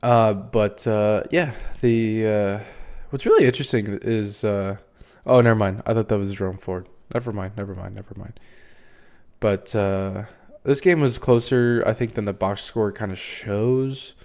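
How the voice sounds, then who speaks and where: A man's voice: lowish voice, unhurried, a little mellow. Congested young man rambling about football. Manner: rambling, filler-heavy, swears casually. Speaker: podcast host. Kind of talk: casual solo sports talk.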